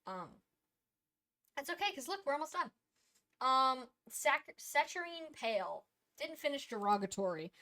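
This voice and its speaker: A woman's voice: high voice, sing-song, a little slow, long pauses, bright, forceful tone, no echo. A young woman co-hosting a casual game review chat, rambling with lots of fillers and swearing, a bit sniffly and losing her voice. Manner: rambling and profane, with many fillers. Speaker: co-host reviewing games.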